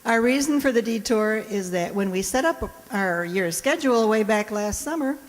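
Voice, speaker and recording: A woman's voice: mid-range voice, expressive, normal speed. A woman host giving a prepared introduction to a live audience in a playful, wry, chatty way. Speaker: host introducing a radio players' show. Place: indoor hall in front of an audience. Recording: echoey hall, live stage.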